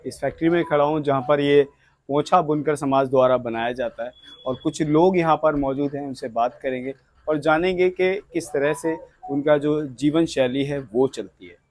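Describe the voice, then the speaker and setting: A man reports from the field in a fairly high voice with very little room sound. He asks questions and explains what he sees, stopping and restarting, often rephrasing what he has just said. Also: moderate pace, darkish tone, no echo. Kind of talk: on-location news interviewing with spoken explanation. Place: handloom workshop.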